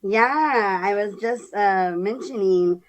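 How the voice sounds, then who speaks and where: A young woman from San Diego with a deep, raspy voice, talking candidly as a podcast guest and laughing often. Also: takes it slowly. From San Diego, California. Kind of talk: casual interview chat.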